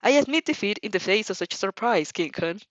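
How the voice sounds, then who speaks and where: An emphatic, very polite teenage boy voiced by a woman in an anime fan story. His delivery is formal and excitable, swinging in pitch, with a dry sound. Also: brisk, no echo, dull audio, lightly acted. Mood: admiring.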